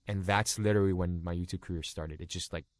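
Low-voiced casual man from Los Angeles. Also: fast talker, no room sound. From Los Angeles, California. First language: Cantonese. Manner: relaxed, many "like" fillers. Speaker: guest YouTuber. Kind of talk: casual interview storytelling.

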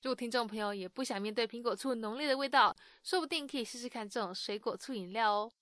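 A woman's voice: a little high, fast, a bit bright, dry recording. A woman host narrating a summary of an interview for listeners in a friendly, explanatory way. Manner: friendly explanatory tone with sentence-final particles. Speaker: podcast host summarizing in Mandarin. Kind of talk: scripted narration.

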